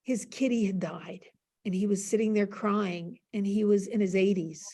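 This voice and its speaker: A woman's voice: mid-range voice, steady tone, moderate pace, warm tone, husky. Older woman, casual storyteller, playful, self-deprecating, chatty, video call from a classroom. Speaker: panel guest, university professor.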